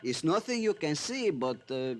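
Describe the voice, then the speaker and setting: Lilting Venezuelan police chief. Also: male voice, on the high side, very animated, moderate pace. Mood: guarded, ominous. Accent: Venezuelan Spanish. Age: 30s. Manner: leisurely, hesitant broken English with Spanish words. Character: relaxed, unhurried Venezuelan police chief in his mid-thirties. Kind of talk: old-time radio drama acting.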